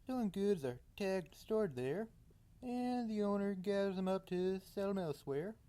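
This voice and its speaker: A woman's voice: mid-range voice, expressive, measured pace, mellow, natural voice. A woman voicing a streetwise man of the slums in an anime fan dub, offhand, hesitant and casually helpful.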